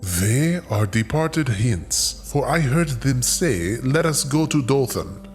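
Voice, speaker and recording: A man playing a grave adult Hebrew herdsman in a dramatized scripture reading, declaiming archaic lines deliberately. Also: mid-range voice, animated, soft background music. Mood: matter-of-fact.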